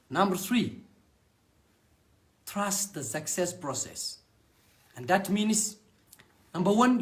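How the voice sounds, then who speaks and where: A young man with a Somali accent, a native Somali speaker, giving an earnest personal testimonial about his network marketing business in short halting phrases. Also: sits high.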